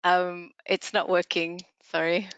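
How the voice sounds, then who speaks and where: Smooth, upbeat woman presenting on a call. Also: fairly low pitch, level tone, slow pace, fairly bright tone, no room sound. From Malaysia. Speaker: head of communications. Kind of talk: webinar presentation. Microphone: video call. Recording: dull video call audio, dry.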